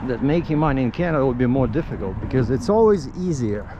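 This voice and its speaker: A middle-aged man from Russia with a Russian accent tells stories casually in English as a non-native speaker, haltingly searching for words. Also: mid-range voice, lively intonation, highs cut off. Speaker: vlogger. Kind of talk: casual rambling storytelling. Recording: outdoor street noise with traffic.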